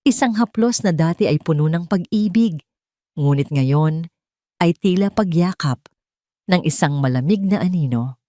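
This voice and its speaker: Low-pitched woman narrating a drama. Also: warm tone, no room sound, studio quality. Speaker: story narrator.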